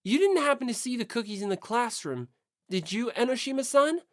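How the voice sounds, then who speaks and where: A woman's voice: on the high side, very animated, a little fast, dry recording, studio quality, pitched above the performer's own voice. A woman voicing an earnest, easily flustered teenage schoolboy with a hesitant, apologetic way of talking. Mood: anxious, earnest.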